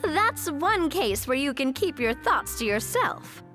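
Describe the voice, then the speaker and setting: A woman's voice: sits high, very animated, a little slow, bright, forceful tone, faint music behind, exaggerated voice. An actress playing a wry woman in a video game, teasing a friend in a dry manner.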